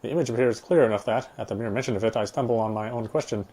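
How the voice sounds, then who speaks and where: Fast-talking man playing a visual novel. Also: medium pitch, dark tone. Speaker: video game player and commentator. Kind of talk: reading game text aloud with casual commentary.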